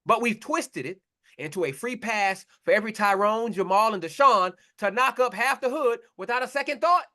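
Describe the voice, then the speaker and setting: A man's voice: high-pitched, expressive, normal speed, bright, forceful tone. A man preaching a confrontational sermon, blunt and mocking, in slangy talk to his listeners.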